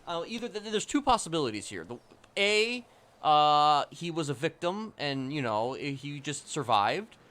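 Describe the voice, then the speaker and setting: A man's voice: on the high side, sing-song, moderate pace, pushed and bright. A man improvising casual roleplay chat in a hesitant, conspiratorial, rambling way. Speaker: roleplay game player.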